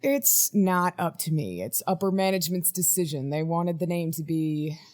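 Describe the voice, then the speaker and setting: A woman's voice: on the low side, animated, normal speed, a little mellow, close to an everyday voice. A woman playing a weary, commanding research team leader in a naturalistic audio drama, matter-of-fact with some hesitation. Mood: weary, businesslike.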